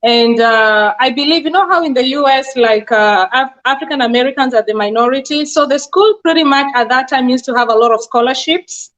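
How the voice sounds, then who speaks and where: Kenyan woman with a high voice. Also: fairly quick, few pauses, a bit bright. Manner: earnest, explanatory, with frequent fillers. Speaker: guest, nurse practitioner. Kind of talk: casual interview answers. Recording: dull lo-fi sound.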